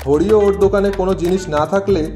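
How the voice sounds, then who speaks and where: Man playing a brusque, high-voiced rival cartoon shopkeeper. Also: fairly even intonation, fast talker, over music, lightly acted. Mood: defensive. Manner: brusque, insistent. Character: stubborn, curt, greedy male shopkeeper, the rival friend. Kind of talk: moral-story cartoon voice acting.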